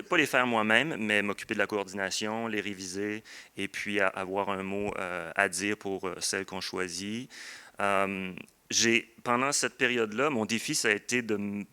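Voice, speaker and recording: Bright, low-pitched Québécois man, hesitant. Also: smooth. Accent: Québécois French. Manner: hesitant, searching for words, thoughtful. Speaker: literary book editor, panelist. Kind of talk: panel discussion, spontaneous answers. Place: book fair round table.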